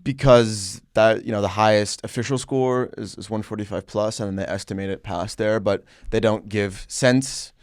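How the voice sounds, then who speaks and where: A young man whose first language is Dutch, answering questions calmly and earnestly, often stumbling over restarts. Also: fairly low pitch, no echo. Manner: calm, measured, earnest with stammered restarts. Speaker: interview guest. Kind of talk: casual conversation.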